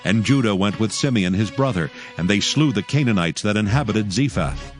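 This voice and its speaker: A man's voice: lowish voice, lively intonation, moderate pace, over music. A man narrating scripture from a script in a solemn, dramatic storytelling manner.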